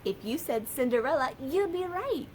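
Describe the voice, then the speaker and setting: An American woman, a children's librarian, telling a story and sharing books for kids in a lively, sing-song way. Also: sits high, normal speed, a little hiss or hum.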